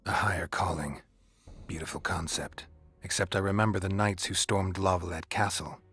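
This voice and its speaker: A man's voice: low-pitched, steady tone, normal speed, fairly bright tone, husky, dry recording, exaggerated voice. A man playing a stoic, world-weary mercenary monster hunter in a fantasy video game, speaking in a terse, dry, understated way. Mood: sardonic. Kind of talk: dramatic fantasy game acting.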